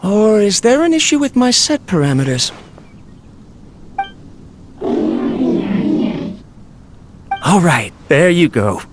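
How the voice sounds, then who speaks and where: A man's voice: high-pitched, wildly swooping pitch, normal speed, heavy background noise. An actor playing an ambitious, lonely older male researcher in a video game, talking fondly and theatrically to a mechanical companion. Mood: gentle, fussing. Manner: theatrical, fond, talking to himself. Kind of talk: dramatic video game voice acting.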